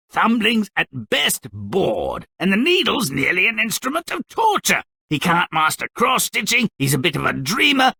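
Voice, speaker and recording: An actor playing a cynical, gleefully morbid, grumpy dwarf storyteller in a video game, with a raspy, gravelly voice and a pompous, sardonic, theatrical delivery. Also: male voice, medium pitch, pushed and bright, no room sound, heavily acted. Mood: scheming, sardonic. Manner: sardonic, pompous wordy, mock-dramatic swoops and drawn-out endings. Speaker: narrator character. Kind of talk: theatrical scripted game narration.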